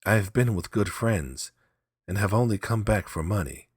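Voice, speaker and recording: A man voicing a proud, hot-tempered, scheming husband in a storybook, forceful and demanding. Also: on the low side, lively intonation, normal speed, slightly rough, no room sound, clean studio sound, pitched above the performer's own voice. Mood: curt.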